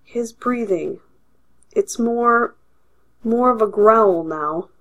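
A woman voicing a solemn, self-important male doctor. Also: a little high. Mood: grave. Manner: solemn, measured, pompous. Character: grave, haughty male doctor.

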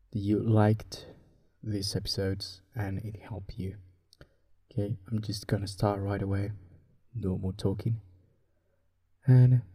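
A man who is a native Spanish speaker with a Spanish accent, speaking softly and breathily in a hushed, unhurried way, as a podcast host helping listeners fall asleep. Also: on the low side. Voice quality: soft, breathy, husky. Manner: hushed, unhurried, drifting toward whisper. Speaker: sleep podcast host and story reader. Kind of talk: soft, relaxing bedtime talk and story reading.

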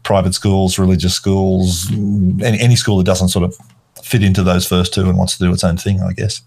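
A middle-aged man from Australia, an art teacher, explaining school systems in a relaxed, casual discussion. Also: sits low, normal speed, gravelly, slightly rough.

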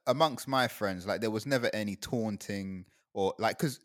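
A man's voice: on the low side, normal speed, dry recording, clean studio sound. A young man from Guyana in casual chat, rambling and laughing often as he talks.

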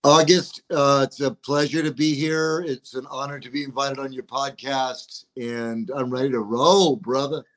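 A man of around sixty, a guest on a podcast, talking in a courteous, measured, slightly formal way. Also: mid-range voice, fairly even intonation. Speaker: guest, attorney and rapper. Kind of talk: casual interview talk.

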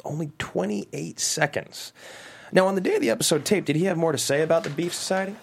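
A man's voice: fairly high pitch, fairly quick, gravelly, slightly rough. A man playing a pressing, sardonic plaintiff's lawyer with a pointed, probing manner in a legal drama read. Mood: probing, accusing.

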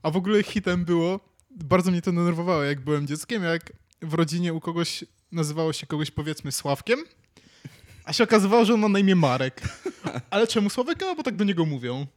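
A man's voice: sits high, expressive, a little fast. A man co-hosting a podcast, chatting casually and musing aloud as he searches for words. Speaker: podcast co-host. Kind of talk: casual chat, musing and telling anecdotes.

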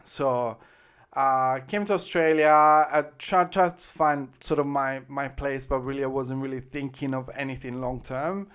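A man's voice: medium pitch, steady tone, normal speed, fairly bright tone, smooth. A man in his thirties from Italy with an Italian accent, chatting as an interview guest in a rambling way, full of fillers and restarts. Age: 30s. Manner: rambling, heavy use of "like" and "um", frequent restarts. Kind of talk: casual interview chat. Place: Australia.

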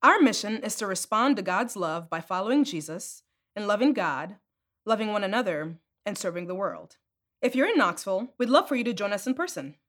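Female announcer for a church podcast intro, lively read, welcoming. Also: medium pitch, brisk.

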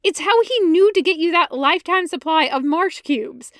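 A woman playing an exasperated, high-pitched young man. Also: animated, normal speed, no room sound, clean studio sound. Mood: panicked, insistent. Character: exasperated, whiny, impulsive young man scheming as matchmaker.

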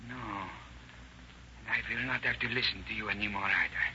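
A man's voice: lowish voice, moderate pace, close to an everyday voice. A muffled old radio recording with heavy noise carries a man acting as an unhinged robber turned killer. He is volatile and agitated, with a menacing way of hammering at the same thought in 1930s radio acting style. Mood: dazed, subdued.

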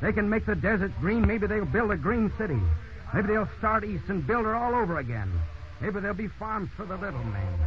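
Radio play: a proud, folksy construction hand, higher-pitched and very animated, full of big hopes, old muffled recording. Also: male voice, brisk, slight background noise. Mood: hopeful, rousing. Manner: declamatory, folksy boasting. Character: hopeful roving construction worker, man, big-dreaming, bold. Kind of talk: 1930s radio drama acting.

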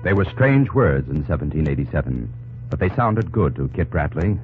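A man's voice: very deep voice, very animated, normal speed, very noisy. A man giving dramatic, scripted narration for an old-time radio drama. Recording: muffled old radio recording with music.

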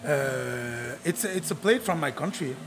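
A man in his twenties, born in Tunisia and raised in Montreal, talking casually as a chef guest, halting and searching for words, swearing now and then. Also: mid-range voice, lively intonation, vocal fry, fairly bright tone, soft background music. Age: 20s. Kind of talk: casual chat.

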